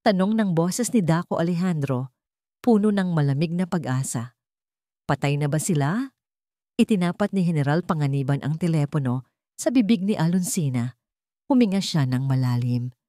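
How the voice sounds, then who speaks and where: A woman with a Filipino accent narrating a scripted dramatic story in a measured, theatrical way, phrase by phrase. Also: low-pitched, lively intonation, dark tone, no echo, clean studio sound.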